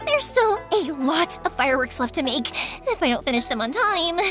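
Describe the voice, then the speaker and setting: A bubbly young shopkeeper in a video game. Her voice is extremely high and swings expressively, chatty and full of exclamation. Music plays lightly behind her. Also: sing-song, normal speed. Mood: eager, flustered. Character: bubbly, generous young woman who makes fireworks. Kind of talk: scripted video game dialogue. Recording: music under the voice.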